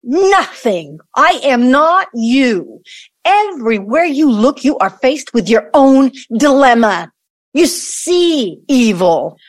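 A woman's voice: high voice, sing-song, slow, dry recording, exaggerated voice. A woman in an audio play acting a defensive, indignant grown daughter with a challenging, exasperated delivery. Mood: angry, defensive.

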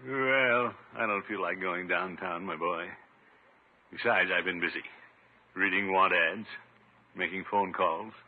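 An actor playing a soft-hearted, self-pitying middle-aged uncle in a 1950s radio comedy, hesitant and sighing. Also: male voice, deep voice, a little slow. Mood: dejected. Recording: muffled, narrow-band 1950s radio recording.

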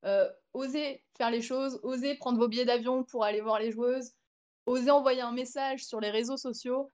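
A woman's voice: a little high, steady tone, moderate pace, darkish tone, highs cut off. A young French woman, a podcast guest, telling a long anecdote in casual chat with many fillers and restarts. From France. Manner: chatty storytelling with many fillers.

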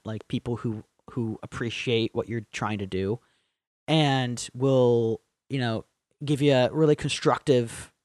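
A young man co-hosting a podcast, chatting casually, rambling, swearing freely and getting animated in bursts. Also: mid-range voice, no echo. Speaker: podcast co-host. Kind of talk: casual chat.

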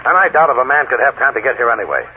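An actor playing an exasperated, sarcastic newspaper editor in 1940s radio drama style. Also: male voice, medium pitch, sing-song, racing, slightly rough. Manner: sarcastic, exasperated, colorful insults. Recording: scratchy, tinny 1940s radio broadcast.

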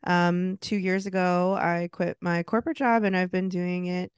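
A woman's voice: fairly low pitch, fairly even intonation, moderate pace, clean tone, no room sound, clean studio sound. A woman in her late thirties co-hosting a podcast, chatting casually and laughing often. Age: 30s. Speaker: podcast co-host. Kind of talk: casual chat.

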